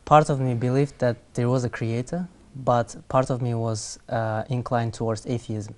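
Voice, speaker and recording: A young man in his twenties from Kazakhstan, a studio interview guest who answers in a soft, measured, hesitant way. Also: mid-range voice, steady tone. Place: TV studio set.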